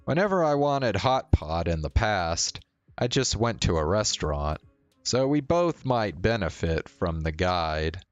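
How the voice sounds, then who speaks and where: A man's voice: deep voice, big pitch swings, a little slow, dry recording, dull audio, faint music behind. A man narrating a visual novel aloud as a streamer.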